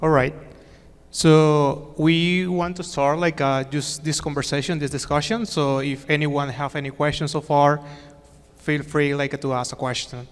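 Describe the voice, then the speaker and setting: A man moderates a conference panel in a fairly high, very clear voice. He prompts the guests hesitantly and repeats words. His voice rings through a large hall. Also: steady tone, unhurried. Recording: reverberant hall.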